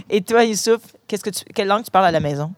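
A woman's voice: mid-range voice, expressive, fairly quick. A woman with a Canadian French accent hosting a casual, chatty interview with schoolchildren and their principal. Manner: friendly, chatty, restarting phrases. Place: inside a parked truck.

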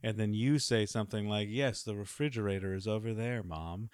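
A man's voice: fairly low pitch, fairly quick, smooth. A man hosting a podcast, chatting casually in a rambling, thoughtful way full of fillers. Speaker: podcast host.

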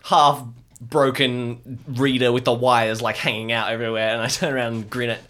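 A man's voice: mid-range voice, level tone, normal speed, fairly bright tone. A man improvising casually as a player in a tabletop role-playing adventure.

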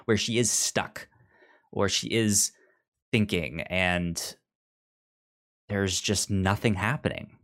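A podcast co-host talking casually through a review, often repeating words haltingly while working out a thought. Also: low-pitched, fairly bright tone.

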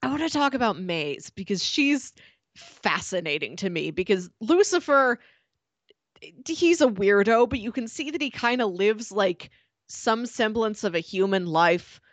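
Woman podcaster, giggly casual chat, mid-pitched voice, real dry recording. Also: lively intonation, a little slow, no room sound. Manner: informal, giggly, peppers talk with "like". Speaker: podcast co-host. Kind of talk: casual chat about a TV show.

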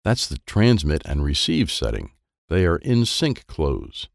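A man's voice: rumbling low, sing-song, unhurried, gravelly, no echo, clean studio sound, exaggerated voice. A man voicing a proud, impatient inventor uncle in a children's storybook, showy and instructing. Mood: commanding, excited. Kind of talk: children's storybook character dialogue.

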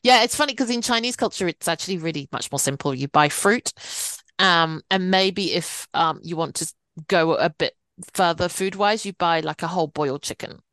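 Lower-pitched woman podcast co-host. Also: moderate pace, fairly bright tone, no room sound. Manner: thoughtful, hesitant, with fillers and restarts. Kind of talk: casual podcast chat.

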